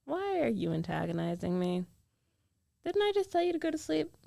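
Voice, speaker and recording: A woman acts out a young female roommate in love, jealous and guarded but tender underneath. Her voice has a noticeable creaky fry and sits very close and dry. She speaks in an intimate, hesitant girlfriend ASMR style, full of feeling. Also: high-pitched, very animated, mellow, dry recording, full character voice. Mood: playful, confessing. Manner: hesitant, emotional, intimate delivery with trailing pauses.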